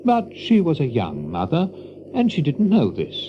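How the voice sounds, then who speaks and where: A man's voice: on the high side, wildly swooping pitch, normal speed, lo-fi sound, noisy background. A man narrating a children's story in a dramatic storybook style. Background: music.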